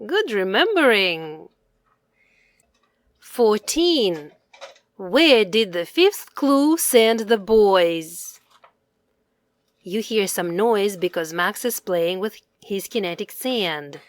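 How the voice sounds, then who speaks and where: A woman presenting a quiz for young children, asking questions and answering them in a deliberate, carefully enunciated, teacherly manner. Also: medium pitch, lively intonation, dry recording.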